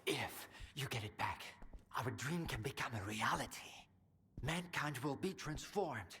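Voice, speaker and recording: An actor playing an earnest, grandiose scientist in a video game, speaking in lofty, pleading phrases. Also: male voice, mid-range voice, expressive, measured pace, sharp tone, long reverb tail, a little hiss or hum. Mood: solemn, grandiose. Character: grandiose, anxious male scientist, visionary head of an institute.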